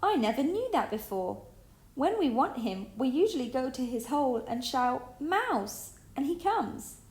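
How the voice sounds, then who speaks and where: An impatient male toy, put on by a woman reading a storybook shouts and calls out loudly. The voice jumps high and sounds pushy and insistent. A clear echo surrounds it. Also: big pitch swings, unhurried, heavily acted. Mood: surprised. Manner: loud, calling out.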